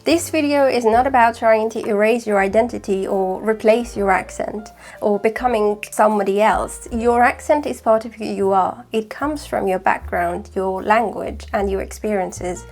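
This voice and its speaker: A woman whose first language is Farsi, speaking in a British accent with a slight northern English touch, giving calm, measured, scripted explanations as a language-learning host. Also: medium pitch, faint music behind. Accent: British with slight northern English influence. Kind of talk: scripted talk to camera.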